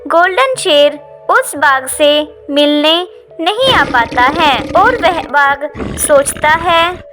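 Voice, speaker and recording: Slow, high-pitched woman storyteller. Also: lively intonation, over music. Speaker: story narrator. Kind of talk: scripted children's animal story narration.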